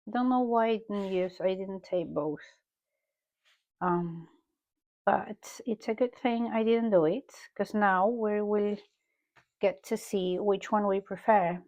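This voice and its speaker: Slow-talking Peruvian woman musing while painting. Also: mid-range voice, a little mellow, lo-fi sound. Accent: Peruvian Spanish. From Peru. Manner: musing aloud while painting, unhurried and self-correcting. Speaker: art journal creator. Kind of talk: casual art tutorial narration.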